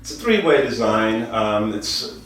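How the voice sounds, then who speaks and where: A man giving an informal product presentation as a dealer, speaking in a deliberate, halting way with repeated phrases. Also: lowish voice, sing-song, a bit bright. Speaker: audio dealer presenting loudspeakers. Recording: roomy, distant mic, faint music behind.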